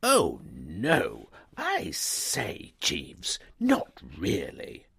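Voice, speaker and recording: A man voicing a bright, excitable upper-class young English gentleman who stammers and chatters in breezy slang. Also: low voice, extremely slow, vocal fry, husky, heavily acted. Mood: dismayed.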